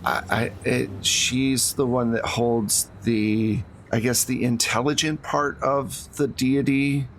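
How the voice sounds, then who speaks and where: A man's voice: mid-range voice, level tone, slow pace, few pauses, fairly bright tone, a little hiss or hum. A man voicing a frightened suburban woman cultist in a tabletop roleplay, stammering and pleading. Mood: nervous. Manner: stammering, pleading, repeats words.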